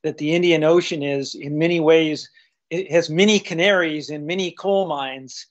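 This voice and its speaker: A man's voice: on the high side, fairly even intonation, normal speed. A man moderating a panel discussion over a video call, summing up points and passing the floor. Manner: fluent, agreeing and summarizing. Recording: muffled video call audio.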